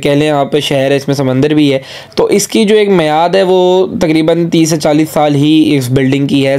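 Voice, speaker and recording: A man with a Pakistani accent narrating an informal news update as a channel host, in a halting way with repeated filler phrases. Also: a little high, a little mellow, clean tone.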